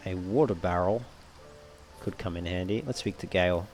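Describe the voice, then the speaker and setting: A man's voice: sits low, normal speed, a little mellow, faint music behind. A man giving casual commentary over a video game, sometimes reading item descriptions aloud. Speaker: let's play host.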